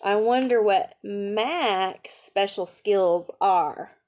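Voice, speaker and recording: A woman reading aloud to children as a storytime teacher, gently explaining and asking questions along the way. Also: mid-range voice, extremely slow.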